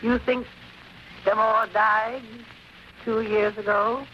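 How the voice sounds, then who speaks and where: A woman's voice: a little high, fairly even intonation, takes it slowly. An actress playing a grave, worried middle-aged mother, speaking hesitantly in 1940s radio style. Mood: grave, troubled. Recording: dull, faintly noisy 1940s radio recording.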